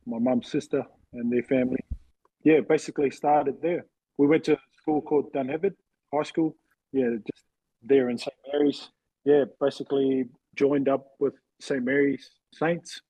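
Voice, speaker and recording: A man in his forties with a husky voice, casually telling personal stories as an interview guest. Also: medium pitch, dark tone, no echo, rough recording. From New Zealand, later Western Sydney, Australia. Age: 40s. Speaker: interview guest, former rugby league player.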